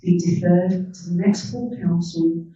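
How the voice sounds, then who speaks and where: A woman councillor at a formal meeting, putting forward a motion and reading its wording slowly and carefully. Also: lowish voice, fairly even intonation, dark tone, a little hiss or hum. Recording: distant, echoey, dull room mic.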